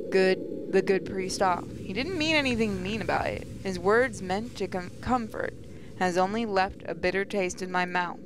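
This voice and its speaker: A woman's voice: medium pitch, noisy background. A woman gaming host reading visual novel narration aloud, stumbling over the odd word as she goes. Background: prominent music.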